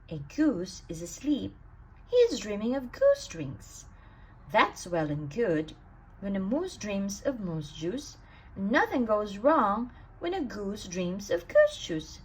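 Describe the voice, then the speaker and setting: A woman reading a rhyming children's bedtime story aloud in an expressive, sing-song way with emphatic stresses. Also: mid-range voice, slightly rough, poor audio. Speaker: storybook reader.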